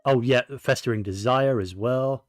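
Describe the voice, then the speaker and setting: A man's voice: medium pitch, moderate pace, no echo, clean studio sound, faint music behind. A man giving casual, rambling gaming commentary, often correcting himself as he goes. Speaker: game streamer.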